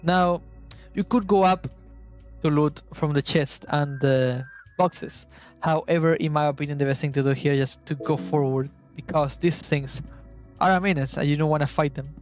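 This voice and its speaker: Young guy talking thru a game guide, kinda high voice, chatty, faint game music under it. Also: fairly even intonation, normal speed. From Argentina. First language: Spanish. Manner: chatty, searches for words, swears at the game. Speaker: gaming YouTuber. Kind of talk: gameplay guide commentary.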